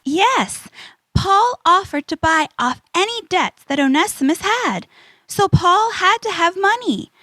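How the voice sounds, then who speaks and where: A woman playing an earnest young adventurer girl in a children's audio drama, instructive and deliberate. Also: very high-pitched, lively intonation, bright, forceful tone, dry recording, heavily acted.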